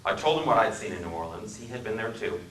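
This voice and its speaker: A man from Wisconsin giving a dramatic reading in a library, measured and dryly wry in his storytelling. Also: lowish voice, lively intonation, fairly bright tone, roomy sound, far from the mic, a little hiss or hum, rough recording. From Wisconsin, USA. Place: public library room.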